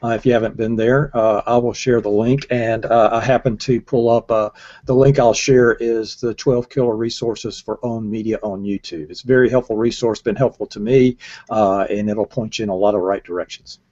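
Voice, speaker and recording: Man, sixties, American accent, mellow dark tone, casual panelist, lo-fi video call. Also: on the low side, fairly even intonation, moderate pace. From United States. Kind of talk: casual commentary. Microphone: earbud headset on a video call. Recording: dull lo-fi video call audio.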